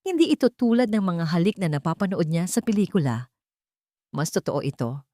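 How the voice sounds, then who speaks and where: A woman's voice: fairly low pitch, big pitch swings, normal speed, dark tone, no room sound, clean studio sound. A woman storyteller narrating a scripted romantic story.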